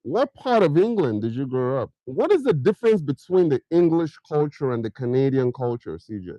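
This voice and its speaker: A man's voice: medium pitch, sing-song, normal speed, no echo, highs cut off. A middle-aged man from Nigeria with a Nigerian accent, hosting a casual livestream where he keeps firing questions at viewers and slips into pidgin. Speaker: livestream host.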